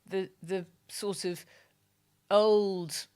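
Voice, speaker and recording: A woman with a loud voice speaking frankly and emphatically as a brand strategy guest in a podcast interview, often restarting her sentences. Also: medium pitch, fairly even intonation, extremely slow, smooth. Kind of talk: candid podcast interview talk.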